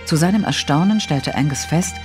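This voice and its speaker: A man narrating a detective audio play in a measured, literary, suspenseful way. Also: on the high side, lively intonation, faint music behind, lightly acted. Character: storytelling narrator of a mystery.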